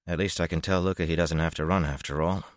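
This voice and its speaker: A man's voice: deep voice, steady tone, fast talker, no echo, studio quality, close to an everyday voice. Man voicing a weary, blunt rogue. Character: weary young male rogue, blunt and tired.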